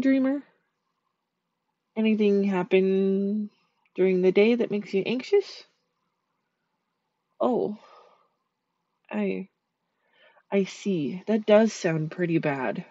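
Sweet, shy dream eater spirit, a woman voicing her, soft soothing ASMR delivery, dry close mic. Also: medium pitch, no echo, highs cut off. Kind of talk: ASMR sleep-aid roleplay.

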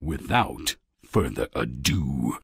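A man's voice: extremely low pitch, animated, slow pace, creaky, fairly bright tone, husky, no room sound, clean studio sound, exaggerated voice. A man voicing a suave, wheedling, theatrical frog who is secretly a king, in a fairy-tale video game. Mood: smug, wheedling. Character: smug, courtly male frog, secretly a king.

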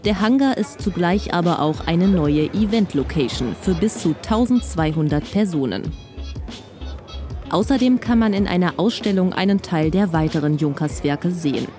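A woman with a fairly low voice reads a regional TV news story, steady and clear. Music runs clearly underneath her voice-over. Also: normal speed. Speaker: news reporter.

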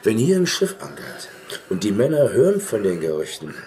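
A man's voice: on the low side, wildly swooping pitch, normal speed, warm tone, a little hiss or hum. An actor playing a tipsy, garrulous seaman in a radio drama, rambling and repeating himself. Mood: gossiping.

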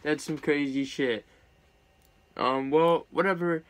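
A young man talks as the host of a casual reaction vlog. His voice sits slightly high and he speaks slowly, with loose slang and swearing. He sounds like he is at a desk in a small room at home. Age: young adult.

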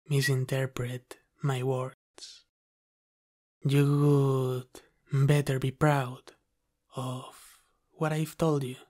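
A man voicing a flirty, dominant demon from the underworld in an intimate ASMR roleplay, soft, breathy and often half-whispered. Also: medium pitch. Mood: stern, teasing. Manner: slow, teasing, dominant.

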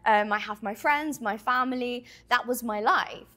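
A woman's voice: fairly high pitch, moderate pace, pushed and bright. Giggly young woman with a London accent. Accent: London English. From London, England. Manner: giggly, easygoing, chatty. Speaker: guest. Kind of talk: casual interview answers. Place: small indoor TV studio set. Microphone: lapel.

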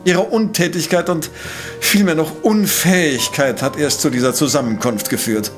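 Man acting a high-pitched, conceited professor-detective. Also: normal speed, soft background music, lightly acted. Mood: scornful. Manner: pompous, precise, lecturing. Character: brilliant, conceited male professor-detective, cool and exacting. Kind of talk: German audio drama acting.

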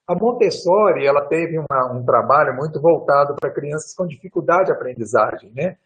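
A man's voice: on the high side, expressive, brisk, a bit bright, poor audio. Older man musing on a video call. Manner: rambling, frequent "né?" tags, restarts. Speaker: guest lecturer. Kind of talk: relaxed lecture answering questions. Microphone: video call webcam.

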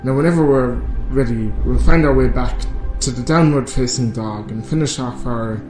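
Male actor as judgmental yoga teacher, measured, condescending, radio play, music behind, mid-pitched. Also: darkish tone, lightly acted. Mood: instructing. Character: smug, passive-aggressive, judgmental male yoga instructor. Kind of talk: radio drama monologue as guided yoga class. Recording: roomy echo, music behind.

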